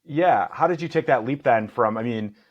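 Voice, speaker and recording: A man hosting a podcast, asking his guest questions in a hesitant, halting way. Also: medium pitch, lo-fi sound. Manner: hesitant, many fillers and restarts. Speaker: podcast host. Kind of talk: interview questions.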